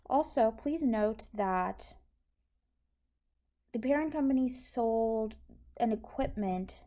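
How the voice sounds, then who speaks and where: A woman's voice: a little high, slow, dark tone. A woman instructor explaining accounting in an informal, step-by-step tutorial style. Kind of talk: explanatory tutorial over handwritten notes. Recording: clearly noisy recording with clicks.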